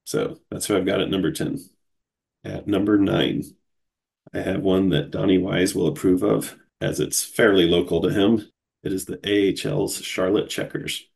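A man's voice: deep voice, fairly even intonation, moderate pace, vocal fry, a little mellow, slightly rough. A man from Cincinnati hosting a solo podcast in a casual, chatty way, sounding groggy from a cold. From Cincinnati, USA. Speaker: podcast host.

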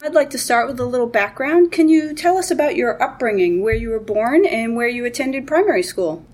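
A woman hosting an interview podcast who reads prepared questions in a clear, even way. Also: fairly high pitch, fairly quick. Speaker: podcast host.

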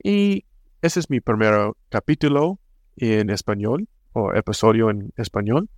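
A man's voice: lowish voice, lively intonation, unhurried, dry recording, clean studio sound. A man from the United States with an American accent, a native English speaker hosting a casual podcast and speaking hesitantly, with frequent restarts and fillers. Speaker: podcast host.

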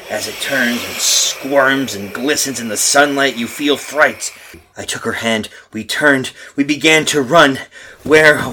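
An actor playing a frightened husband lost in time, in melodramatic old-time radio horror style with intense, urgent delivery. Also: male voice, medium pitch, background noise. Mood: urgent, panicked. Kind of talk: old-time radio horror acting, first-person narration and dialogue.

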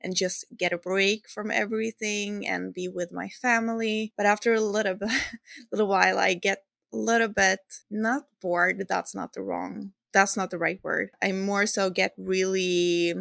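A woman's voice: mid-range voice, steady tone, normal speed, creaky, fairly bright tone, no echo, clean studio sound. A woman with a Swedish accent, a non-native English speaker, hosting a casual solo podcast in an informal, hesitant way, searching for words. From Sweden. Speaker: podcast host and fitness coach.

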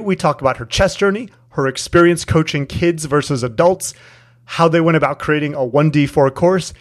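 A man speaking as the host of an interview podcast. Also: on the high side. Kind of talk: interview and scripted introduction.

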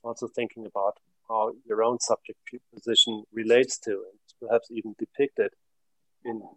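A middle-aged man from Germany, an academic guest, giving reflective and measured interview answers that trail off at the ends of phrases. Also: fairly low pitch, level tone, no echo, low-quality recording.

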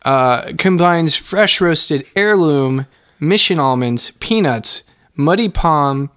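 A man's voice: a little high, lively intonation, slow, a bit bright. A young man co-hosting a casual podcast, playful and teasing, often laughing. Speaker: podcast co-host. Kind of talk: casual chat.

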